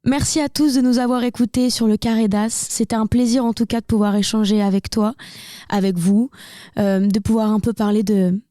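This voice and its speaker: A woman's voice: on the high side, steady tone, normal speed, mellow, dry recording, studio quality. A young woman from Burgundy with a slight Burgundy French accent, a singer chatting candidly as a guest in a casual interview. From Burgundy, France. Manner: candid, talkative, many fillers and restarts.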